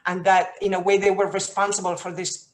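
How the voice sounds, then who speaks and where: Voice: mid-range voice, little pitch movement, brisk. Tentative voice asking a long question. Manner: tentative, searching for words. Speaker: audience questioner.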